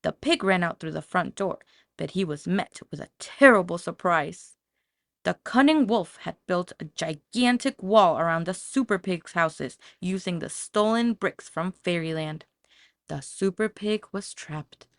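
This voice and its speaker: A woman reads a children's story with dramatic expression. Her voice sits on the low side with plenty of creak, and the recording is close and dry. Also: animated, a little slow, no room sound. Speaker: audiobook narrator. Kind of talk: scripted children's story narration.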